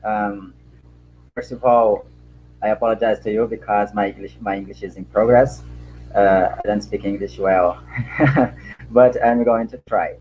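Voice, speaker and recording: A man from Brazil speaking English as a second language with a Brazilian Portuguese accent, answering a panel question in a halting way with many fillers and repeated words while he searches for words. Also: on the low side, steady tone, lo-fi sound, a little hiss or hum. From Acre, Brazil.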